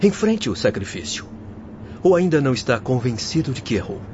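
A man's voice: mid-range voice, sing-song, brisk, a little mellow, close to an everyday voice. A man acting an earnest young doctor in a radio drama, with a solemn, exhorting manner. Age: young adult. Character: young doctor, earnest, energetic moral counsellor. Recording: dull lo-fi audio with noise.

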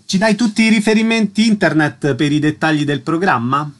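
A male radio interviewer with a slightly high voice. He delivers scripted introductions and puts brief, direct questions to his guests. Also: brisk, a little hiss or hum.

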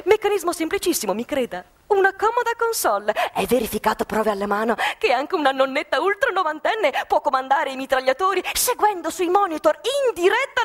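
Satirical radio play: gleeful security saleswoman, high-pitched, very animated, melodramatic emphasis, gushing pitch. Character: gleeful, zealous saleswoman of armored panic rooms. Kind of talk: satirical radio theatre acting.

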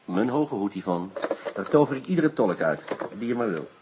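A man playing an excitable, bossy police inspector in a radio drama, blustering and commanding. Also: on the low side, moderate pace, noisy background. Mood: brisk, musing. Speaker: actor in a radio play. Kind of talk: Dutch radio drama acting.

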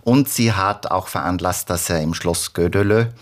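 Man acting male expert guest, deep voice, measured, earnest, factual explanation, radio play interview. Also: lightly acted.

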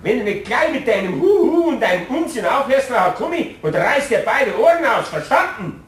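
An actor playing a blunt, grumbling Bavarian card player in a children's radio play, with an exclamatory way of talking and a Bavarian accent. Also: male voice, extremely high pitch, sing-song, normal speed, noisy background. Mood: angry.

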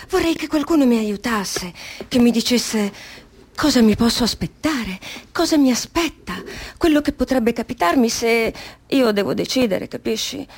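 A woman's voice: a little high, husky. An actress in a radio drama playing an anguished woman prisoner, frightened yet defiant, in a monologue.

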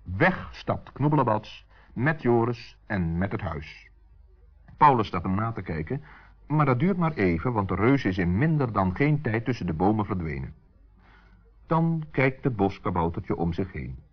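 A gentle storybook narrator played by a man with a lowish, husky voice. His delivery is very melodic and expressive, on a muffled old radio recording. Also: measured pace, heavily acted. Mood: gentle storytelling. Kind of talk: expressive scripted children's storytelling.

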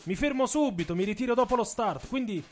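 A man's voice: very high-pitched, lively intonation, fast, pitched above the performer's own voice. A man voicing an urgent, insistent male athlete in a radio theatre story. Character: insistent, excuse-making male Italian sprinter at the blocks.

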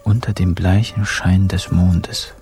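An actor playing a wry, laconic pensioner who narrates a radio crime drama in the first person. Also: male voice, low voice, steady tone, takes it slowly, darkish tone, a little hiss or hum.

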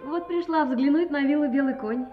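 An actress playing a spirited, playful young woman in an audio drama, frank, affectionate and expressive. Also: high-pitched, normal speed, lightly acted. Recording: slightly dull audio with music.

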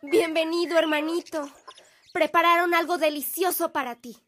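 High-voiced, affectionate Mexican sister. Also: female voice, fairly even intonation, moderate pace, fairly bright tone, a little hiss or hum. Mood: delighted, welcoming. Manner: tender, gracious. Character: affectionate, welcoming adult sister and hostess. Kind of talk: radio drama acting.

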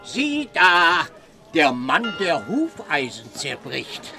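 An actor playing a quick-witted, mocking court jester in a radio play, teasing and sharp-tongued. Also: male voice, fairly high pitch, huge pitch swings, vocal fry, over music, exaggerated voice.

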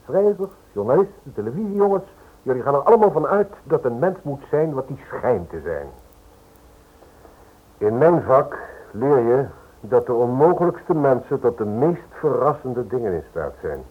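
Radio drama lawyer: dismissive and sceptical, medium pitch, rough-edged voice, muffled vintage recording. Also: male voice, very animated, normal speed. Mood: firm, cynical. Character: brusque, cynical male lawyer with wealthy clients. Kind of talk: Dutch radio drama acting.

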